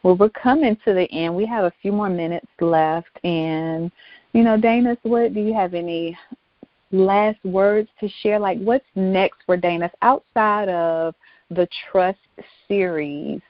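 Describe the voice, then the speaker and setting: A woman's voice: medium pitch, unhurried, dry recording. A woman hosting a talk show, chatting casually and informally in an encouraging way, often repeating her phrases. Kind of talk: casual interview chat. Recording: dull lo-fi sound.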